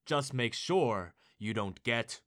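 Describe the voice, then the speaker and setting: A man playing a showy, theatrical announcer of a kids' game show, with dramatic drawn-out delivery. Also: medium pitch, expressive, heavy background noise. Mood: showy, teasing.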